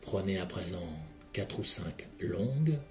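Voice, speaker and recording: A man leading a guided relaxation session in a calm, measured voice, speaking directly to the listener. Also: low-pitched. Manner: calm, measured second-person guiding. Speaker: hypnotherapist guide. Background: soft background music.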